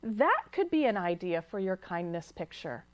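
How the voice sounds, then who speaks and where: A woman's voice: mid-range voice, big pitch swings, moderate pace, no room sound, natural voice. A woman playing a kind storybook mom. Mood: encouraging.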